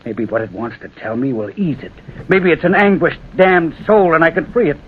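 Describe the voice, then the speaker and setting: A man's voice: fairly high pitch, expressive, moderate pace, close to an everyday voice. An American actor playing a haunted railroad signalman, fraught and insistent, obsessed with a ghost, in old-time radio drama style. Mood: anguished, urgent. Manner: fraught, intense, repeats phrases insistently. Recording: muffled, noisy vintage radio recording.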